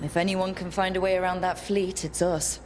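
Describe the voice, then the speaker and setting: An actress playing a wry, encouraging young leader of a band of heroes in a video game. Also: female voice, on the low side, level tone, normal speed, noisy background, natural voice. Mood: resolute. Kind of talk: video game cutscene acting.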